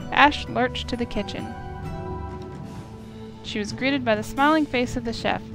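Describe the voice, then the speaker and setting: A woman reading a visual novel aloud as story narration for a playthrough. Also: on the high side. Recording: music clearly behind the voice.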